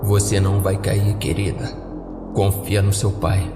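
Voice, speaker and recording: A man voicing a gentle firefighter father in a horror audiodrama, calmly and tenderly reassuring his child. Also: low voice, measured pace, music in the background, voice pushed down. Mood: tender reassurance. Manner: soft, tender, calm.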